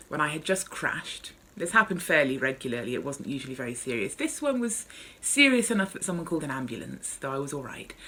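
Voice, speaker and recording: A woman's voice: sits low, sharp tone, a little hiss or hum. A woman author speaking and reading from her memoir in a wry, understated way.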